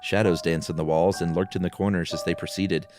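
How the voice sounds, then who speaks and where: A man's voice: low-pitched, little pitch movement, a little fast, darkish tone, faint music behind. A man co-hosting a podcast, moving between casual banter and dramatic storytelling. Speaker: podcast co-host and story narrator.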